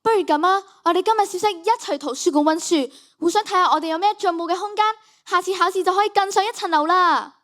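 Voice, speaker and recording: A woman's voice: very high voice, fast talker, fairly bright tone. A girl with a Hong Kong Cantonese accent playing a sensitive, forgiving schoolgirl in a radio drama. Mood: forgiving, friendly. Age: child, primary school student. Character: downcast, forgiving young schoolgirl.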